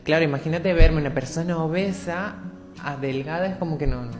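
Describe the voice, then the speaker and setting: A woman's voice: deep voice, brisk, long reverb tail, over music. A woman in her twenties, a radio interview guest, talking openly and reflectively about her personal story. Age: 20s.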